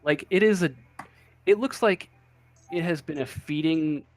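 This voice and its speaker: A man running a tabletop game as game master, narrating off the cuff and halting as he searches for words. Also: a little high, no echo.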